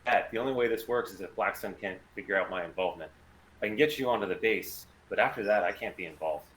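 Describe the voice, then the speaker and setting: A man playing a calm, defiant rogue pilot with a plan in a sci-fi table read. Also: on the low side, fast talker, lightly acted, low-quality recording. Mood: cool, assured.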